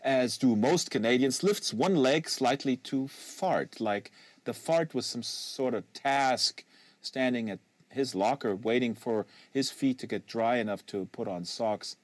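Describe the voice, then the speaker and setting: A man giving a live, measured and expressive reading of a literary novel as the narrator. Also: medium pitch, dry recording. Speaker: audiobook reader and livestream host. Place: small sound-treated studio. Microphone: close studio microphone.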